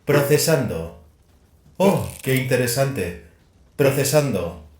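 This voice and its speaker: A man voicing an eccentric, detached machine-augmented adept in an audio drama, halting and clinical in his talk. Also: mid-range voice, animated, echoey room, background noise, pitched above the performer's own voice. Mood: absorbed, odd. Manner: halting, clinical, mechanically repeats procedural words.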